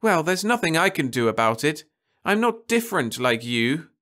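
A petulant, whiny nineteen-year-old girl, voiced by a man reading a story aloud. She talks high and shrill, wailing and sulking, with a pitch that leaps all over. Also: very animated, moderate pace, bright, forceful tone. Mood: sulky.

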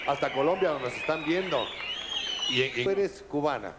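A man's voice: on the high side, expressive, normal speed. A man hosting a TV variety show, interviewing guests on stage with effusive compliments. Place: TV studio stage with live audience. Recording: noisy old VHS tape with crowd.

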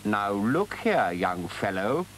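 Older male badger, acted by a man, storybook: grave, commanding, very expressive intonation, slight hiss. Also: medium pitch, unhurried, poor audio. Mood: severe. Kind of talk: animal storybook character voice.

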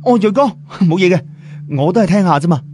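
A man's voice: sits high, animated, fast talker, a little mellow, voice pushed up. A man voicing a polite, curious younger companion in a story, casual and deferential, with a Cantonese accent. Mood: friendly, curious.

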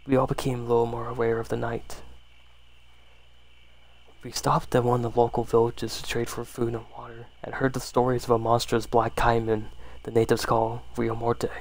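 A man softly reading a horror story in ASMR style, unhurried, with the odd stumble over words. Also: medium pitch, creaky, poor audio.

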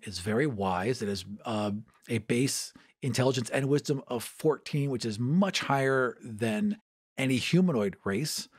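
A man chatting casually as a podcast guest, with playful, theatrical emphasis. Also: medium pitch.

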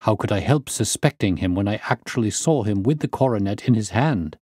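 A man's voice: on the low side, animated, a little fast, a little mellow, breathy edge, no room sound, clean studio sound. A man voicing a stern, impatient older banker in an audiobook, formal and blustering. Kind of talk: audiobook character reading.

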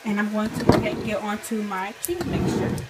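A woman's voice: mid-range voice, level tone, a little fast, heavy background noise. Chatty woman cooking in a kitchen. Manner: chatty, thinks aloud, self-correcting. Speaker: cooking vlogger. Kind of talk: casual recipe walkthrough. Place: home kitchen, indoors. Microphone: camera on the counter.

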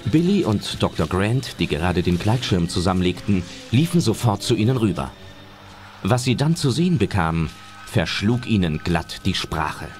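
A man's voice: on the low side, very animated, moderate pace, darkish tone, soft background music. A man narrating a scripted German audio drama as its storyteller.

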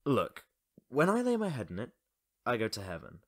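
An actor playing a dry, teasing teenage schoolboy who loves history in an audio drama. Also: male voice, mid-range voice, wildly swooping pitch, normal speed, no echo. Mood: teasing, laid-back.

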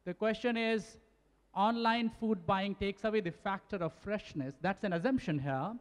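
A man moderates a question session into a handheld mic. His voice sits high, and he hesitates as he reads each question, and the big hall gives him a long reverb. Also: normal speed. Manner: hesitant, many "uh" fillers while reading questions. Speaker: moderator reading audience questions. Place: large conference hall stage, at podium.

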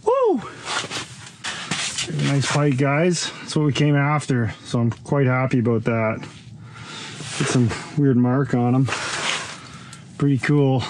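A young man chatting excitedly to viewers while ice fishing, recorded inside a small tent on a body-worn camera. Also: medium pitch, slow pace, long pauses, noisy background. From Canada. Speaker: fishing vlogger. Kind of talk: casual first-person narration while fishing. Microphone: action camera worn on the body.

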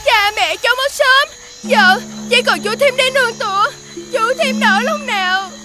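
A voice actor playing a cunning orphan village boy who wheedles and fibs in an animated folk tale. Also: very high voice, a little slow. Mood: pleading. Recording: music bed under the voice.

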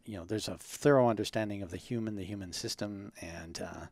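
Interview guest, man in his 60s, from Ontario; measured, halting delivery, dry close sound. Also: on the low side, gravelly, a little mellow, no echo. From Ontario, Canada. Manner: measured, hesitant, many ums and uhs. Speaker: guest, ergonomist. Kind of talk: interview answers.

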